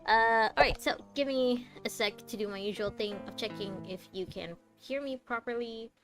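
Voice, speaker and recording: A young woman talks casually to her stream chat in a high, animated voice, laughing easily as she catches her viewers up. Soft game music sits quietly behind her. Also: moderate pace. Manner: chatty and animated, laughs often, greets chat by name.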